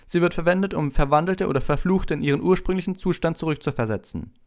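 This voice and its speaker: Man putting on a high, know-it-all schoolgirl. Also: quick pace, darkish tone, lightly acted. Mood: eager, precise. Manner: recites like a textbook. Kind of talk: audiobook character voice.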